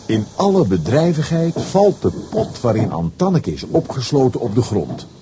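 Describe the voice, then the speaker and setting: A man narrating a scripted children's audio story with a husky voice and wry asides. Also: medium pitch, very animated, normal speed, noisy background.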